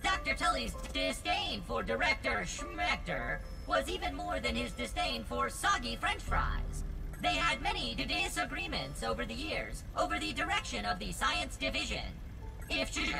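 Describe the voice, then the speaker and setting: A woman's voice: fairly high pitch, big pitch swings, normal speed, gravelly, exaggerated voice. A woman voicing an eccentric robot lab assistant in a video game, with formal, stilted speech that stutters and glitches. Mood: informative. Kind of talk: scripted video game dialogue. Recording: loud music behind. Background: music.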